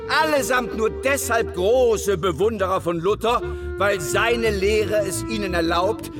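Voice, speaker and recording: An actor playing a fiery, zealous sixteenth-century revolutionary preacher in a radio play, declaiming in a rousing, deliberate way. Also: male voice, high-pitched. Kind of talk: dramatic sermon in historical radio play. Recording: loud music under the voice.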